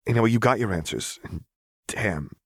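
Guy reading a cocky young rebel dude, taunting, slangy, lowish voice, audiobook. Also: normal speed, husky, dry recording, studio quality. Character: cocky, defiant young street rebel man, smirking.

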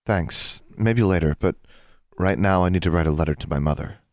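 Male narrator as a gentle young teen girl, subdued, soft, dry recording. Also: sits low, moderate pace, dry recording. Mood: dejected. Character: quiet, cautious, gentle schoolgirl.

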